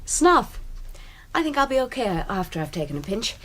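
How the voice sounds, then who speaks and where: A woman's voice: fairly high pitch, sing-song, normal speed, fairly bright tone. An actress playing a demure, polite and apologetic young woman in a radio drama.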